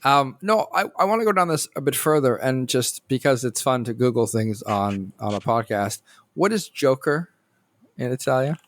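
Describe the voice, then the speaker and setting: A man's voice: medium pitch, expressive, normal speed. Playful man steering the chat. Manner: playful, steers the talk with questions. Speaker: podcast co-host. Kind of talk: casual film-discussion chat.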